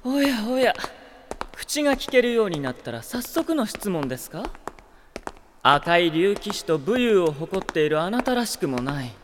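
An actor playing a scheming, cruel young sorcerer with mockingly polite, unhurried speech in a Japanese radio drama. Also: male voice, high voice, sing-song, noisy background. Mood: smug, taunting. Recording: drama production with music behind.

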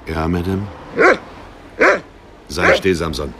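An actor playing a brooding, lonely van driver in a radio drama. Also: male voice, sits low, steady tone, slow pace, very noisy. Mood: gruff. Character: brooding working-class delivery van driver Johnny, lonely, devoted.

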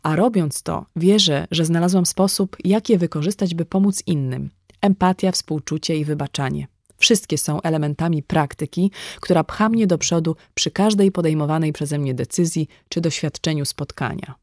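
A woman's voice: low voice, moderate pace, a little mellow, dry recording, clean studio sound. A woman reading a scripted audiobook dialogue as a narrator. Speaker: audiobook reader.

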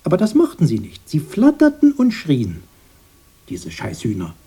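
An actor playing a protective, simple-minded and haunted grown-up brother in a radio drama, halting and repetitive, soothing one moment and evasive the next. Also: male voice, a little high, huge pitch swings, dark tone, husky, full character voice. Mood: defensive, troubled. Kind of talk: 1980s German radio drama acting.

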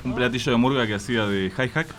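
A man's voice: medium pitch, fast talker, over music. A man, joking and telling stories on a radio show, laughing often. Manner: playful, storytelling, laughs often. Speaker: band member guest. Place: radio studio.

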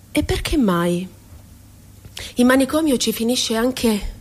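A woman's voice: a little high, darkish tone. An actress playing a passionate, wounded woman poet shut away in an asylum, musing aloud with irony and tenderness in a theatrical radio monologue. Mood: bitter irony. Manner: dramatic, ironic, shifting between tender and indignant.

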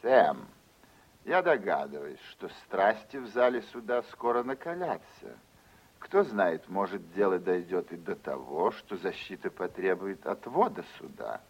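A man acting a scheming, insistent senator in a radio play, speaking deliberately and insinuatingly. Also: low voice, sing-song, slightly rough, exaggerated voice. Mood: insinuating pressure. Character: scheming senator, smooth, insistent male political patron.

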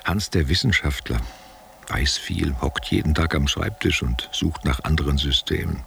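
A man's voice: rumbling low, moderate pace, gravelly, fairly bright tone, slight background noise, exaggerated voice. An actor playing an older man, a former actor and soldier, who muses intimately in a literary radio play, asking rhetorical questions. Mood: reminiscing. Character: old former actor and war veteran, reminiscing, tenderly condescending.

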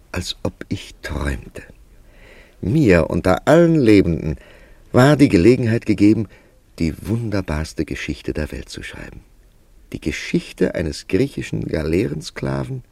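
Very deep, gravelly writer-narrator. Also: male voice, warm tone, no room sound, exaggerated voice. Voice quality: creaky, gravelly. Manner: deliberate, literary, dramatic storytelling. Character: thoughtful, calculating male writer narrating his own story. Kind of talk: German radio play narration and dialogue.

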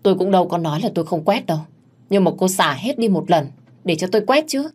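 Lady narrator as a feisty young maid in an audio story, stubborn, talks back, sharp tongue. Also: lowish voice, lively intonation, fast, husky. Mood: irritated. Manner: retorting, stubborn.

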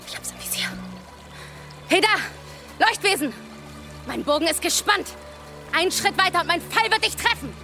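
A woman playing a fearless young archer in a German audio drama, blunt, brisk and defiant. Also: extremely high pitch, animated, sharp tone, soft background music, heavily acted. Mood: alert, commanding.

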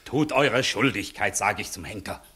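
An actor playing a stern, exasperated court official with a husky voice, formal and reproving, in a classic German radio comedy. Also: male voice, fairly low pitch, normal speed, heavy background noise, lightly acted.

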